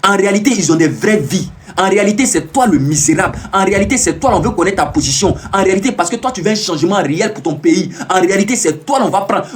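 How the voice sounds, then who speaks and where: Man, live-stream monologue, high-pitched, swooping animated delivery, emphatic and repetitive, preaching warnings at viewers. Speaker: self-proclaimed peace ambassador streaming live.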